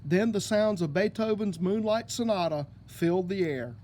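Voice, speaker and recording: A man narrates a children's storybook aloud. His voice is notably high for a man, and he reads the scripted text as a storyteller. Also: moderate pace, a little mellow, a little hiss or hum. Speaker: storybook reader.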